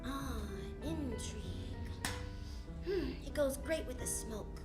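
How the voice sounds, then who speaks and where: High-voiced wisecracking lady detective acted by a woman. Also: takes it slowly, loud music behind the voice. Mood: cool, sardonic. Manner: sardonic, snappy, quipping hard-boiled delivery. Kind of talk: old-time radio detective acting.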